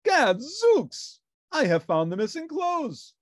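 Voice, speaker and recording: A woman voicing a pompous, excitable male detective who declaims grandly in a children's storybook. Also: sits high, slow pace, no echo, clean studio sound, voice pushed up, heavily acted. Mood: triumphant, pompous. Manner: theatrical, declaiming, self-important.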